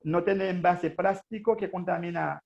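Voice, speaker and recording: A man's voice: high voice, moderate pace, creaky, a little mellow, muffled recording. A Haitian man whose first language is Haitian Creole, giving an earnest motivational business presentation in Spanish-accented speech with frequent hesitations and fillers. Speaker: network marketing presenter.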